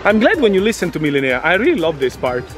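A man's voice: a little high, wildly swooping pitch, quick pace. A middle-aged man, coaching swimmers outdoors with teasing, upbeat commentary. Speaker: swim coach. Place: outdoor training area by a pool. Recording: outdoor with music behind.

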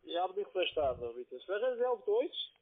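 A man with a foreign accent speaking non-native German in halting phrases, insistently working a sales call as a call-center trader. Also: high-pitched, big pitch swings. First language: not German. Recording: tinny narrowband phone line.

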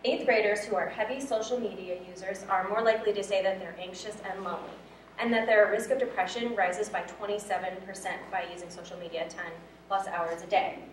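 A woman's voice: medium pitch, fairly even intonation, a little fast, recorded from a distance. A young woman delivers a prepared talk as a student presenter. She is in a lecture room, picked up from afar with noticeable echo and a little background noise. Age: young adult.

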